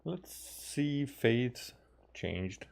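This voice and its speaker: A man from Hungary with a Hungarian accent, giving casual gameplay commentary as a streamer, musing aloud in a halting way. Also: mid-range voice, expressive, vocal fry, darkish tone. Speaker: game streamer.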